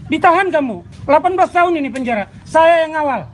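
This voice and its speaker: A man shouting abusively at someone during a street confrontation. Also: extremely high pitch, expressive, fast talker, a little hiss or hum.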